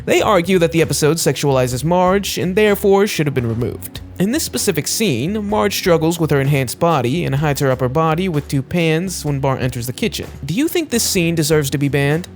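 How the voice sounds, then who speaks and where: A man's voice: a little high, animated, brisk, hardly any pauses. A man reading scripted narration for a list video, presenting facts and putting questions to the viewer. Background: music.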